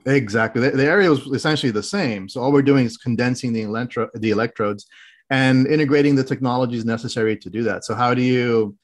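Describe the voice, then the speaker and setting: A man's voice: medium pitch, fast talker. A man from the Middle East talking as a podcast guest about his engineering background, explaining in detail and restarting his phrases often. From grew up in the Middle East. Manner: explanatory, many restarts and fillers, precise technical wording. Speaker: guest, health tech director. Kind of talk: casual interview talk.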